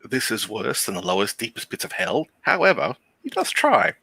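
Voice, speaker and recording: Sardonic European man, lively, gravelly. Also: mid-range voice, normal speed. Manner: sardonic, teasing. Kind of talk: casual banter on a stream.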